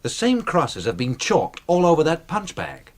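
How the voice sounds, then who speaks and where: A brash American knife-thrower in a radio drama. His slangy speech swings dramatically up and down, pitched a bit high for a man, with an excitable, showy energy. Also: moderate pace. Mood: curious, excited. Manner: slangy, animated.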